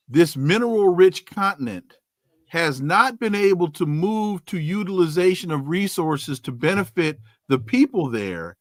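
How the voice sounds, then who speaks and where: A man's voice: sits high, fairly bright tone, dry recording. A man hosting a podcast interview, posing indignant rhetorical questions. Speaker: podcast host.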